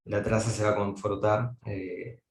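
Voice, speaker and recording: A man's voice: lowish voice, little pitch movement, unhurried, roomy sound. A man giving an academic mathematics lecture, thinking aloud with frequent fillers and restarts.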